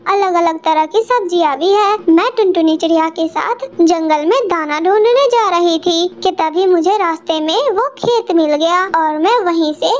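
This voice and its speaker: A woman's voice: very high-pitched, moderate pace, few pauses, highs cut off. A woman voicing a sly, greedy, boastful female crow in a children's cartoon, with a brash and cunning manner. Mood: excited. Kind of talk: Hindi children's cartoon dialogue.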